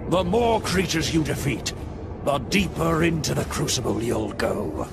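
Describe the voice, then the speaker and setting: A sinister host laying out deadly rules. He speaks slowly with plenty of movement in his pitch. Loud music sits beneath. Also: lively intonation, gravelly. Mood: ominous. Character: menacing male games master explaining deadly rules. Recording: film clip with loud music.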